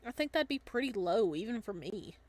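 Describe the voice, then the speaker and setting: A woman's voice: mid-range voice, sing-song, a little fast, darkish tone. Visual novel best friend, male, young, laid-back and teasing, loyal underneath woman performer. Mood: teasing, supportive. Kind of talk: visual novel dialogue reading.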